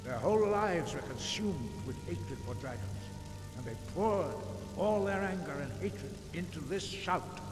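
An actor playing a stern, wise old monk master in a fantasy video game, speaking in a solemn, formal, measured and admonishing way. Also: male voice, on the high side, expressive. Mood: solemn warning. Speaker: game character, mentor. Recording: echoey stone hall, music behind.

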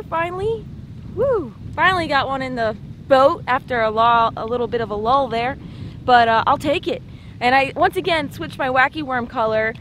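A woman's voice: sits high, a bit bright, a little hiss or hum. A young American woman casually narrating a fishing vlog in an informal, slangy way from a small boat outdoors. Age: young adult. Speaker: fishing show host.

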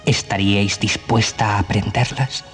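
A man's voice: lowish voice, steady tone, moderate pace, lightly acted. Young fairy-tale prince played by a man, earnest and loving, Castilian accent, faint music on old cassette. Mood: tender, earnest. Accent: Castilian Spanish. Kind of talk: children's storybook dramatization. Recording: 1980s cassette, music behind.

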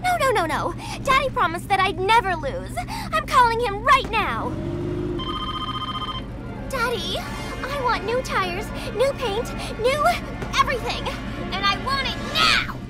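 A woman's voice: extremely high pitch, expressive, measured pace. A woman voicing a spoiled, whiny rich young sports car, demanding and haughty, in a cartoon video game. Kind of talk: animated video game acting. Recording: loud music behind the voice.

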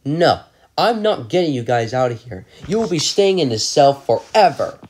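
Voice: medium pitch, very animated, moderate pace, a little mellow. A performer voicing a scheming male cartoon dog who traps people and gloats about it, with a cackling laugh. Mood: gloating. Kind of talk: homemade cartoon voice acting.

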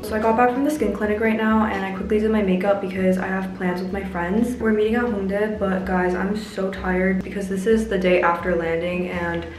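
A young Canadian woman talks brightly to her camera in a casual vlog, full of likes and little asides. Her voice sits at an ordinary middle pitch, held close to the lens. Soft background music runs steadily under her words. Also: steady tone, a little fast, hardly any pauses, low-quality recording. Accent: Canadian English. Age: 20s. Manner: chatty, upbeat, filler-heavy. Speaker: vlogger. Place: indoors and outdoors, hotel room and rooftop. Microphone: handheld camera close to face.

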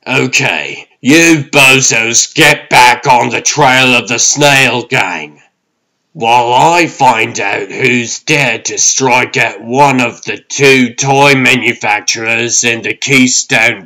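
A man's voice: medium pitch, takes it slowly, sharp tone, voice pushed down. A man voicing a brash, exasperated raccoon ranger in a comic dub, dry and bossy, with music underneath. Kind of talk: comic-book dub acting.

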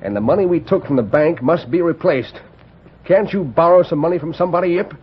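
An actor playing an anxious, crooked American frontier banker with an urgent, questioning manner in a radio western. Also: male voice, fairly high pitch, expressive, brisk, breathy edge. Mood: worried, urgent. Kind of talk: old-time radio western acting. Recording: muffled vintage radio broadcast, faint noise.

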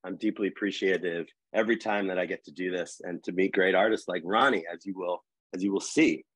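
A man's voice: sits low, brisk, fairly bright tone, no echo. A middle-aged man hosting a live video call conversation, rambling with many fillers and restarts, wearing earbuds. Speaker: host, editor-at-large. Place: home room with wood panel wall.

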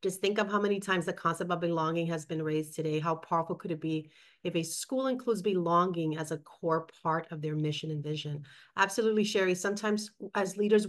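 A woman's voice: on the low side, a little fast, a bit bright. A woman from New York, a former principal, co-hosting a webinar over a video call and reflecting aloud while reading chat comments. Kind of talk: conversational webinar discussion.

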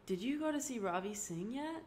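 A woman's voice: a little high, moderate pace, a little hiss or hum, voice pushed up, close to an everyday voice. A woman doing Kara, a bubbly teenage girl. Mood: teasing. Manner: playful, excitable. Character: bubbly teenage girl best friend, teasing.

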